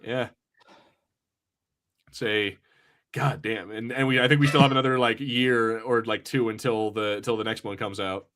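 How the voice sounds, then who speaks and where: A man's voice: on the low side, fairly even intonation, moderate pace, pauses often, fairly bright tone. A man in his thirties co-hosting a podcast, chatting loosely, rambling, and reading out viewer comments. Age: 30s. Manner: rambling, easy-going, laughs often. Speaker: podcast co-host. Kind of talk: casual podcast banter, reading chat comments.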